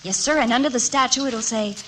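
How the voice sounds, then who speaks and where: An actress playing an earnest, noble frontier wife in a melodramatic old-time radio comedy style. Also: female voice, a little high, fast talker, fairly bright tone, lightly acted. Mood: earnest, admiring. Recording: noisy vintage radio recording.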